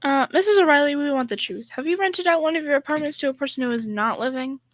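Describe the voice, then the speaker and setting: A very high, girlish voice of a student actress brings out a naive young secretary in an old-time radio comedy. She is sweet, earnest and dim, taking everything at face value. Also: fast, natural voice. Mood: earnest, puzzled. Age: middle school student.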